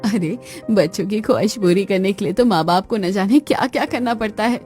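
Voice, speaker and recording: A woman playing a warm, hospitable young village daughter-in-law in an animated story, polite and expressive. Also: mid-range voice, fairly quick, soft background music, natural voice. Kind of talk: animated moral story dialogue.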